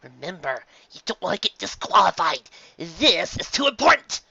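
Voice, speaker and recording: A man's voice: high-pitched, big pitch swings, normal speed, creaky, pushed and bright, slightly rough, dry recording, lo-fi sound, voice pushed up, full character voice. A man voicing a sardonic, grumbling but loyal mare in a story, muttering in an exasperated way. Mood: urgent.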